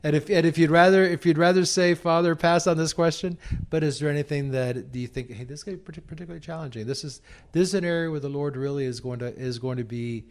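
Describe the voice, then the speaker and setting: A priest interviewing on a radio program, speaking haltingly, restarting and repeating words as he gathers his thoughts. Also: on the high side.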